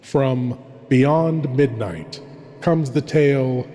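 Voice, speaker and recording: Slow, theatrical male horror host, heavy reverb. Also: medium pitch, mellow. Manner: ominous, intimate, dramatic pauses. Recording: long cavernous reverb on voice.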